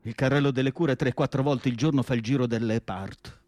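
An actor playing an anguished, indignant and nostalgic asylum psychiatrist in a declamatory radio theatre monologue. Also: male voice, medium pitch, steady tone, a little fast, darkish tone, no room sound, studio quality, natural voice. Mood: troubled, questioning. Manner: declamatory, rhetorical questions, emphatic lists. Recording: music playing behind the voice. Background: music.

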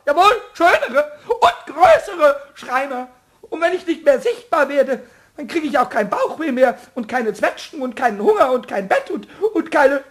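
A man voicing a small, childish male kobold in a children's radio play, squeaky, cheeky and whiny, stammering and pleading. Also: heavily acted. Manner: stammering protests, wailing, pleading.

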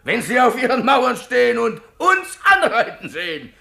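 An actor playing a cruel, bombastic rebel captain in a theatrical radio play, with a bellowing, pompous, menacing delivery. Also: male voice, very high-pitched, wildly swooping pitch, moderate pace, gravelly, sharp tone, full character voice. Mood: bombastic, gloating.

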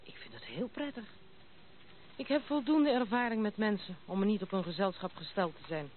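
A woman's voice: medium pitch, lively intonation, moderate pace, close to an everyday voice. An actress playing a proud, outspoken young noblewoman in a Dutch radio play, with a brusque, mocking manner. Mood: guarded, cool. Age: 20s. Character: blunt, proud, unconventional young noblewoman of twenty-six. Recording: tinny band-limited old radio play recording, noisy.

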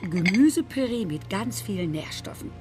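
An actress playing a kindly, middle-aged housekeeper and carer, fussing and chattering solicitously in a radio drama. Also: female voice, mid-range voice, animated, moderate pace, over music, natural voice. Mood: fussing, pitying.

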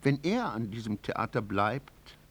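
Radio drama: imperious, sneering male theatre actor, very lively pitch, haughty and deliberate. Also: slightly rough, exaggerated voice. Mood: cold menace. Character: arrogant, tyrannical theatre actor, sneering and cutting. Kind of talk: German radio drama acting.

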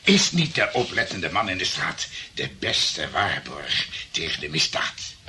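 An actor playing a smooth-talking, pompous private detective colleague in a radio drama, oratorical in manner. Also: male voice, deep voice, very animated, measured pace, sharp tone, slight background noise, full character voice. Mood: smooth persuasion. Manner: oratorical, ingratiating.

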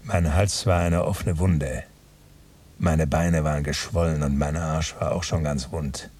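An actor playing a weary gambler who tells of his night at the dice table in a terse, dry radio drama monologue, with a husky voice. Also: rumbling low, steady tone, measured pace, a little mellow, far from the mic, heavily acted. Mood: exhausted. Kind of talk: radio drama narration, first-person monologue.

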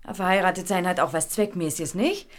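Low-pitched, teasing young woman, played by an actress. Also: a little fast, close to an everyday voice. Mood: teasing, probing. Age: young adult. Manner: teasing, dry, probing. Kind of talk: German radio play dialogue.

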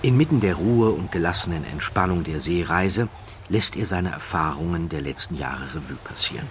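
A man narrating an audiobook story in a measured, deliberate way with theatrical emphasis, close to a studio microphone. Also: low voice, lively intonation, slight background noise. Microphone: close studio microphone, dry.

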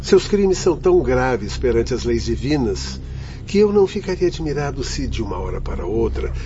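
An actor playing a compassionate, grave instructor in a radio drama, speaking formally and earnestly. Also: male voice, mid-range voice, very animated, normal speed, noisy background, natural voice. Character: compassionate, grave senior instructor in a spirit institute. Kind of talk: Brazilian radio drama acting. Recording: dull lo-fi audio under music.

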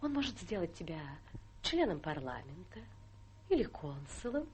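An actress playing a charming, ambitious young society wife in a radio play, coquettish and breezily self-assured in a theatrical manner. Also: female voice, on the low side, big pitch swings, normal speed, a little mellow, a little hiss or hum. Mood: cool, coaxing.

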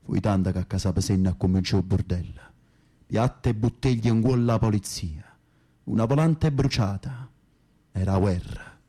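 An actor with a thick Neapolitan accent playing a brooding, hardened mafia henchman in prison in a radio drama, gruff and blunt in dialect. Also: on the low side, normal speed, warm tone. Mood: bitter, angry. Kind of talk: Italian radio theatre acting.

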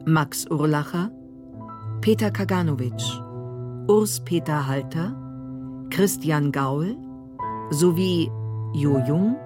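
A woman's voice: sits low, expressive, slow pace, pauses often, over music. A woman announcer reading scripted narration and credits for a German radio drama.

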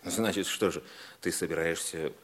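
An actor playing a wry, kindly schoolteacher mentor, measured and gently ironic, in a 1950s radio drama. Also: male voice, sits low, fairly even intonation. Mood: gently teasing. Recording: vintage 1950s radio play recording.

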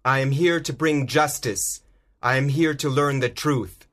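A man reading a storybook aloud, voicing a bold, righteous masked hero in slow, simple lines. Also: medium pitch, fairly even intonation, fairly bright tone, pitched above the performer's own voice, lightly acted. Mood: stern. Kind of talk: graded reader audiobook.

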